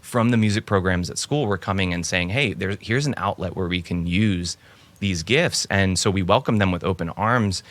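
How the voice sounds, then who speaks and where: Podcast host, man about 40, very low pitch; relaxed, easygoing questions. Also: normal speed. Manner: frequent short affirmations, relaxed questions. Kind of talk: casual interview.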